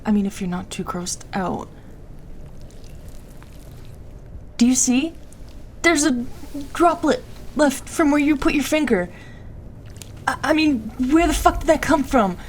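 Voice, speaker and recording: A distressed young woman in an intimate audio drama. Her voice sits fairly high and comes in halting, stammered bursts, apologetic yet stubborn. There is slight noise behind her. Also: expressive. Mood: panicked, disgusted. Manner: halting, stammering, breathy asides to a partner. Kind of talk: intimate audio roleplay acting.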